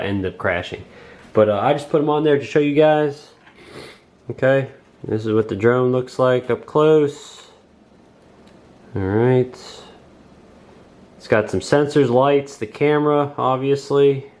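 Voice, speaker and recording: A man's voice: medium pitch, darkish tone, echoey room. Chatty man with a cold-tinged voice. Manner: folksy, chatty, many fillers. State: recovering from a cold. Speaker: host of a drone review channel. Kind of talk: casual product review. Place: home kitchen table.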